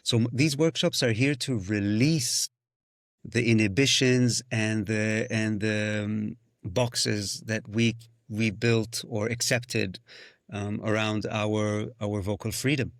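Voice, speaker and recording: A man's voice: fairly low pitch, steady tone, slow, dry recording. Reflective man, Hebrew native, dry close sound. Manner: searching, thoughtful, restarts phrases, breaks into vocal sounds. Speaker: musician and singing-circle facilitator. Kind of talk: reflective podcast conversation.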